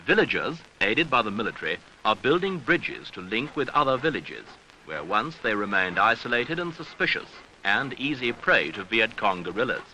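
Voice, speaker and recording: A man reading a scripted documentary newsreel narration in a measured, grave, rhetorical manner, on an archival seventies soundtrack. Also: lowish voice, very animated, sharp tone, a little hiss or hum, rough recording.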